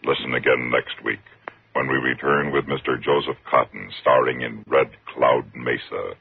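A man's voice: a bass voice, fairly even intonation, moderate pace, creaky, husky. A man announcing a 1950s radio drama in a dramatic, scripted style. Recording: phone-like vintage radio recording. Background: loud music.

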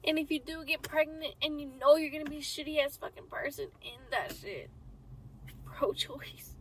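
A young woman co-hosting a podcast, reacting loudly and profanely in casual chat. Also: sits high, moderate pace, a little hiss or hum, poor audio. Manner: loud, profane, reactive, animated. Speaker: podcast co-host.

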